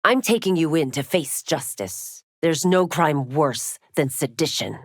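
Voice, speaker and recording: A woman's voice: deep voice, animated, a little slow, no echo, clean studio sound. An actress playing a stern, dutiful warrior woman and law officer in a fighting game, speaking in formal, commanding, clipped declarations. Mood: stern, authoritative. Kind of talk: fighting game character voice lines.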